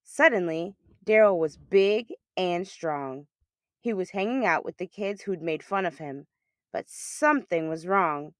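A woman reading a children's picture book aloud as a storyteller, with expressive, emphatic delivery. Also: on the low side, unhurried, no room sound, studio quality.

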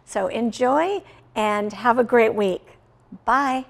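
A woman in her sixties hosting a children's story time, reading Bible stories and praying in short, deliberate phrases with frequent pauses. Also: on the high side, gravelly. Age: 60s. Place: small furnished indoor room, seated.

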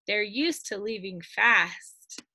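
A middle-aged woman reading a picture book aloud to young children like a teacher, expressive and sing-song, drawing out the ends of lines. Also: medium pitch, slightly rough, dry recording. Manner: expressive, sing-song storytelling with drawn-out line endings. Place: indoors at a computer, screen-share video.

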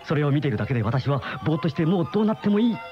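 An actor playing an uneasy middle-aged husband in a radio mystery, with brooding, dramatic storytelling. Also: male voice, a little high, sing-song, racing. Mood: brooding, dreamy. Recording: muffled old radio drama recording, music behind.

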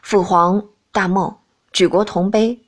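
A formal, scheming royal man voiced by a woman in an audiobook. The voice is dropped low and speaks in measured, courtly phrases. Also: fairly even intonation, slightly rough. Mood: solemn.

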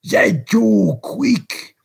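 A desperate, murderous storybook ruffian, played by a male narrator. He shouts fiercely in a voice pushed well up in pitch, urgent and full of menace. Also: expressive, drawn-out words, creaky, breathy edge, exaggerated voice.

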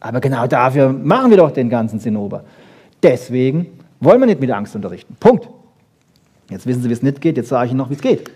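Husky-voiced man lecturing. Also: mid-range voice, big pitch swings, fast talker, mellow. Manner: emphatic, rhetorical questions and short punchy asides. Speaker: lecturer on brain research. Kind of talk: lively popular science lecture to an audience.

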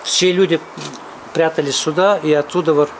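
A middle-aged man speaks outdoors in a mountain village, telling his story plainly and circling back to repeat points. His voice sits a little high for a man. Steady traffic and outdoor noise run clearly under him. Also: normal speed. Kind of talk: casual outdoor interview.

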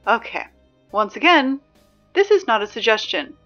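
A woman playing a sarcastic, exasperated high school announcer in a scripted audio drama, dry and emphatic in delivery. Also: big pitch swings, moderate pace, fairly bright tone, dull audio, soft background music. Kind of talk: scripted fiction podcast, PA announcements.

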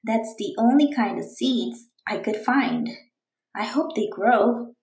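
Eager storybook girl played by a woman, earnest, expressive, unhurried, lightly high-pitched. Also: reverberant. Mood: hopeful. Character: eager, determined young girl writing her diary.

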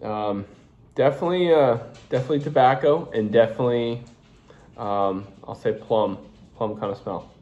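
A man's voice: medium pitch, moderate pace, darkish tone, highs cut off. A man, casually reviewing coffee in his home kitchen and rambling as he works, recorded on a phone camera. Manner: rambling, restarts often, talks while working.

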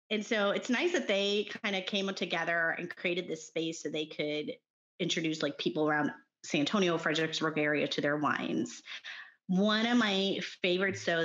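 A woman's voice: on the low side, fairly bright tone, lo-fi sound. A middle-aged woman from Texas with a Texan accent, chatting casually and enthusiastically as a podcast guest, with frequent ums and laughs. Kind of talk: casual chat.

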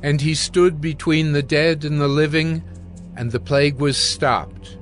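A man narrating scripture from a script for an audio drama, measured and solemn. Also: medium pitch. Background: music.

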